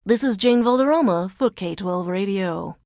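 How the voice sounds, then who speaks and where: A woman playing a sardonic female radio DJ in a video game, introducing songs in a deadpan, measured, formal announcer's delivery. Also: medium pitch, no echo, clean studio sound, natural voice. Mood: dry, composed. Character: dry, sardonic female radio DJ under alien occupation.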